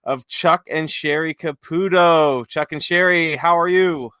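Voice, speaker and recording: A male podcast host with a fairly high, very bright voice chats casually and playfully. He likes to banter and joke, and the sound is close and dry. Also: a little slow, clear, resonant tone, no echo. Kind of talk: casual chat.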